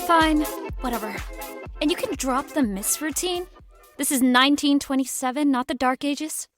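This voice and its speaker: An actress playing a flustered, defiant young society daughter in a 1920s period audio drama. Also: female voice, sits high, normal speed, over music, close to an everyday voice. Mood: imperious, weary. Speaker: actor.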